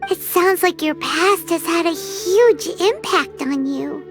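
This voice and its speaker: A woman voicing a small, chirpy, non-human female companion in a video game, speaking in a squeaky, excitable way over game music. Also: slow. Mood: curious.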